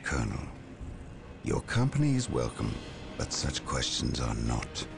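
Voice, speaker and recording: An actor playing a stern veteran psychic librarian in a game cutscene, speaking in grave, measured warnings. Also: male voice, rumbling low, huge pitch swings, vocal fry, heavily acted. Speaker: game character. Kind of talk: video game cutscene acting. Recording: game cutscene with music underneath.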